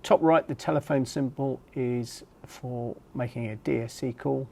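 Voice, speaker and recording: A middle-aged man presents a product in a plain, explanatory way. His voice sits at a medium pitch and has a noticeable creak to it. The delivery is informal and matter-of-fact. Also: a little slow, a little mellow, slight background noise. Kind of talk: informal product overview and demonstration.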